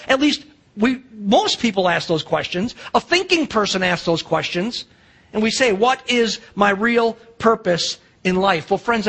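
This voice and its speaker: A man's voice: extremely high pitch, sharp tone, husky. A middle-aged man with an American accent preaching a sermon as a pastor, emphatic, posing rhetorical questions and acting out dialogue. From United States.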